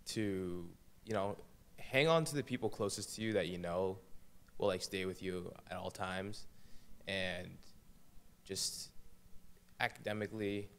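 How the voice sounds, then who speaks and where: A young man in his late teens answers interview questions in a small podcast studio. His voice is on the low side, and he speaks tentatively, often restarting his sentences. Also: clean tone. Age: young adult. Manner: hesitant, many fillers and restarts. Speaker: guest, high school senior. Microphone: boom-arm studio mic.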